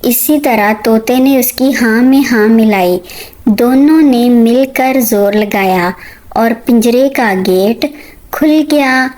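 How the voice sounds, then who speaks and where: A woman's voice: fairly high pitch, slow pace, a little mellow, rough recording. A woman storyteller reading a scripted moral tale for children.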